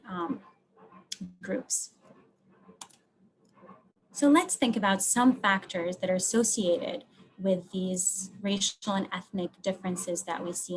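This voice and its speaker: A woman from Lebanon giving an explanatory research talk, speaking in short, measured phrases and stressing key words. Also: mid-range voice, slight background noise, poor audio. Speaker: academic researcher presenting.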